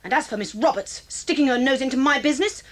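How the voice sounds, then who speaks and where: High-voiced, hot-tempered sulky schoolgirl. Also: expressive, fast. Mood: angry, defiant. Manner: snappish, defiant. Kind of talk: 1980s audio drama acting.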